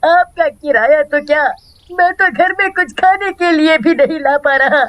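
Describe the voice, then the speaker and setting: Woman acting a poor cartoon father, high voice, slow wailing delivery, humble, tearful, begging. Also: fairly bright tone. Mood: pleading. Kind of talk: children's moral story cartoon acting.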